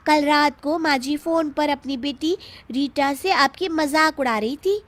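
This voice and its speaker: A woman voicing a sly young daughter-in-law in an animated comic story, gossipy and exaggerated. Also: high-pitched, unhurried, no echo. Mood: sly gossip. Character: sly young scheming daughter-in-law who stirs up trouble.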